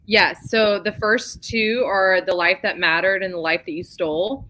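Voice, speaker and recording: A woman's voice: medium pitch, steady tone, moderate pace, bright, forceful tone. A woman chatting casually and informally about romance novels as a co-host of a book club show.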